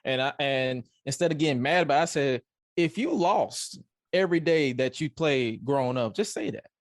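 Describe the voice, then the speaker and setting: A man's voice: medium pitch, normal speed, no room sound, clean studio sound. A man in his twenties hosting a podcast, talking candidly in a laid-back way and acting out people he quotes. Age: 20s. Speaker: podcast host. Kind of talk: casual podcast chat.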